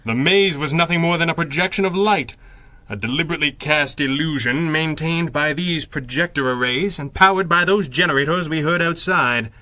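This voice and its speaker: Man acting a bright, suave, arrogant masked crimefighter. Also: a little high, normal speed, no room sound. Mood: coolly explanatory. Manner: precise, condescending, clipped. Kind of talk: 1930s-style radio serial acting.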